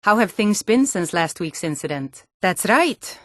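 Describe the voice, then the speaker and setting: A woman's voice: mid-range voice, expressive, a little slow, no echo, clean studio sound, natural voice. A woman voicing a hardy, forthright Nord townswoman and guard in a fantasy video game, speaking in an earnest, firm, plain-spoken way. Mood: earnest, reassuring.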